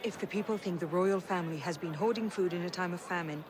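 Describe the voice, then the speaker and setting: An actor playing a resolute young ally of an assassin in a video game, urgent and decisive. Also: male voice, high voice, fast, background noise, close to an everyday voice. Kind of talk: video game cutscene acting.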